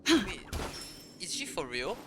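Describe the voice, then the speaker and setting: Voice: medium pitch, sing-song, slow pace, noisy background. A streamer giving casual live commentary on a game, joking, reacting and swearing freely. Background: loud game music.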